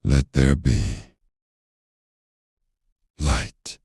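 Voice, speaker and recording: An actor voicing God as a majestic, commanding creator, with a solemn delivery and a very deep, husky voice. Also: male voice, extremely slow, warm tone, no echo, clean studio sound, heavily acted.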